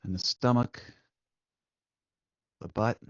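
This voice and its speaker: A man leading a guided meditation as the guide, speaking gently and soothingly, unhurried, with long pauses between short instructions. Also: mid-range voice, fairly even intonation, no room sound, highs cut off.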